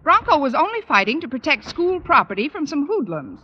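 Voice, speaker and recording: An actress playing a quick-witted schoolteacher with dry, wisecracking delivery in a radio sitcom. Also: female voice, high voice, very animated, sharp tone, dull audio, exaggerated voice. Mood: pleading. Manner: dry, wisecracking, crisply theatrical. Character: wry, quick-witted adult female schoolteacher. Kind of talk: 1950s radio sitcom acting. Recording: noisy old radio broadcast recording.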